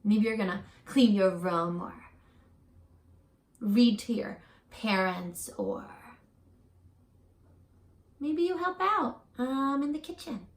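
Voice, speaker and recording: A woman doing an animated read-aloud for young children as a teacher, gentle and encouraging, pausing to put questions directly to the listener. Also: on the high side, reverberant. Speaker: teacher reading a picture book aloud to children.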